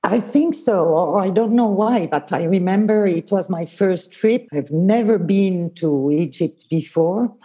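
A woman's voice: fairly low pitch, a little slow, hardly any pauses, dark tone. A Swiss woman whose first language is Swiss German, reminiscing about her old work as a tour leader in a hesitant, casual way with many fillers. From Switzerland. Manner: hesitant, many fillers, laughs while telling stories.

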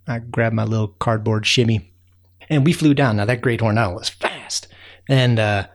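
A man's voice: lowish voice, moderate pace, close to an everyday voice. A man voicing a friendly, chatty raccoon who rambles through a bedtime tale with many fillers. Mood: easygoing. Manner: rambling, folksy, lots of fillers. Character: friendly chatty male raccoon, easygoing storyteller. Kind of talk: bedtime storytelling.

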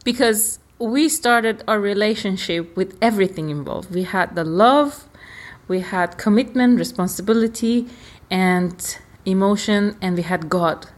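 A woman co-hosting a casual podcast, a non-native English speaker whose phrasing drops small words. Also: medium pitch. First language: not English. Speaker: podcast co-host. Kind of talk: casual conversational talk.

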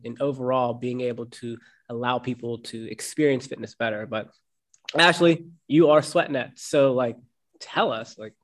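A man's voice: medium pitch, fairly bright tone. A man hosting a fitness podcast interview, recovering from a cold and talking in an upbeat, chatty way with easy laughter. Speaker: podcast host.

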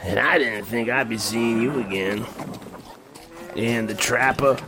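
An actor playing a terse, knowing frontier sheriff in a western audio drama, with a gravelly, deliberate delivery. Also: male voice, mid-range voice, heavy background noise, natural voice. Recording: loud music over the voice.

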